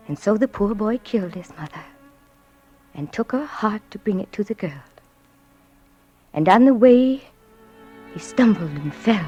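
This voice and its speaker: Woman doing frail old auntie in old radio drama, sweet n creepy, whispery, swoopy pitch, music behind. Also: warm tone, lightly acted. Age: older. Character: frail elderly doting aunt, sweetly eerie. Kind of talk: 1940s-50s radio thriller acting. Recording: muffled vintage radio recording, music behind.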